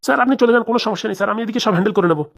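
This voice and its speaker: An obsequious, wily cartoon schoolteacher, played by a man in a raised, high voice. He rattles off eager assurances very quickly. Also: creaky. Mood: fawning. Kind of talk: comedy cartoon dubbing.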